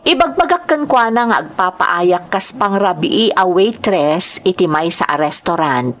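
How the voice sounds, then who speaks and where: A woman's voice: on the low side, expressive, measured pace. A woman with a Filipino accent playing an earnest young nightclub entertainer, telling her story expressively in a radio-style drama. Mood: earnest confiding.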